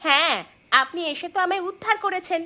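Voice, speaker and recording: A woman voicing a sharp-tongued but caring village housewife in a Bengali folk-tale cartoon, with theatrical expression. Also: very high voice, quick pace. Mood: annoyed.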